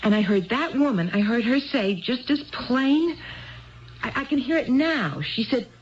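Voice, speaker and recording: A woman's voice: a little high, expressive, unhurried, a little hiss or hum. An actress playing an earnest, tipsy married woman who rambles wistfully in a 1970s radio drama. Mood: intent, wondering. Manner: wistful, digressive, repeats herself. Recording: dull lo-fi 1970s radio broadcast.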